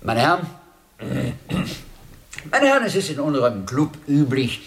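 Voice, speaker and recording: An actor playing a dignified, eccentric English gentleman in a German radio drama, speaking formally and ceremoniously. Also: a little high, big pitch swings, measured pace. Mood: grand, addressing assembly. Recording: roomy, echoing interior.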